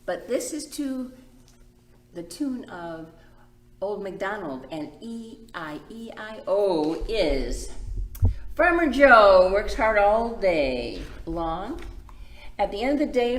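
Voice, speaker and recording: A woman hosting a children's storytime as a librarian, presenting and reading aloud in simple, demonstrative phrases for small children. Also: mid-range voice. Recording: echoey room.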